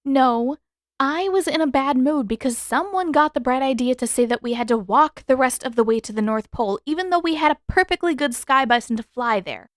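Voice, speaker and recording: A woman voicing an exasperated, indignant teenage girl with sharp, emphatic retorts in a story reading. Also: high-pitched, brisk, no echo, studio quality, voice pushed up, close to an everyday voice.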